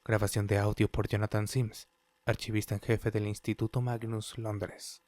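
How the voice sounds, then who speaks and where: A man's voice: fairly low pitch, no room sound, natural voice. A man voicing a formal male archivist who opens a recorded statement in a fan dub audio drama. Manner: formal, flat and measured. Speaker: archivist reading the statement intro. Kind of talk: scripted audio drama intro.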